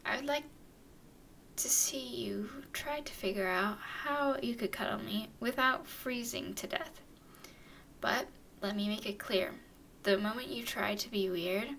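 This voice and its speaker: Woman voicing a slow-talking, haughty vampire girl. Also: mid-range voice, vocal fry, a bit bright, a little hiss or hum. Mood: wry, condescending. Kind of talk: ASMR roleplay, acted monologue to listener.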